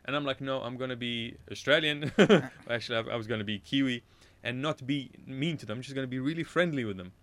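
A man's voice: mid-range voice, quick pace, hardly any pauses, a bit bright. A man from Iraq, a radio show guest, telling a casual anecdote in a rambling way, often correcting himself and laughing at his own story.

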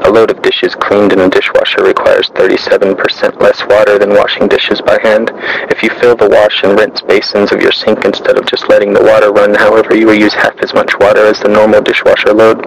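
Guy reading out a written monologue, really monotone and gravelly, clear background noise on the recording. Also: lowish voice, brisk, rough recording. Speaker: narrator. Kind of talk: scripted literary monologue, read aloud.

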